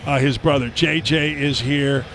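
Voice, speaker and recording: A man's voice: a little high, fairly even intonation, measured pace. A middle-aged man giving chatty, rambling color commentary on a basketball broadcast from a headset mic.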